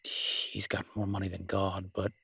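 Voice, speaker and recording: Romantic audio roleplay: werewolf boyfriend voiced by a man, husky and lowish, teasing yet devoted, conversational, very dry sound. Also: monotone, sharp tone, no room sound, heavily acted. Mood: amused, gossipy. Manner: tender, teasing, halting conversational delivery. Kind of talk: romantic roleplay audio, intimate one-sided dialogue.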